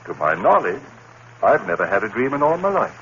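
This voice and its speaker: Haunted biology teacher, low voice, dramatic radio suspense delivery, brooding, muffled noisy vintage recording. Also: male voice, very animated, a little fast, fairly bright tone. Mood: amused, assured. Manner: brooding, dramatic inner monologue. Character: anguished accused murderer, a biology teacher, haunted narrator. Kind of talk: 1950s radio suspense acting.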